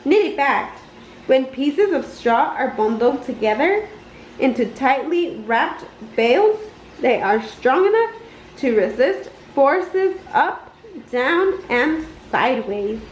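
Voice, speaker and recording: A woman reading a children's storybook aloud in an expressive, deliberate storytelling way. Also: high voice. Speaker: storybook reader. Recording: roomy, slightly dull, noisy home recording.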